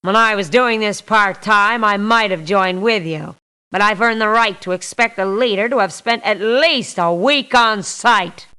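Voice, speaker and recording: A self-assured female mercenary from a video game, has a bright, clean voice at a medium pitch. She is firm and formal, and delivers her demands with a critical edge. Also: a little slow, smooth. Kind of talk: video game character lines.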